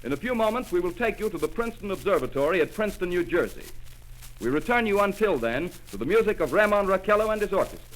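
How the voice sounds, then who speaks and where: An actor playing a formal studio news announcer in a 1930s radio drama. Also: male voice, sits high, animated, quick pace, rough recording.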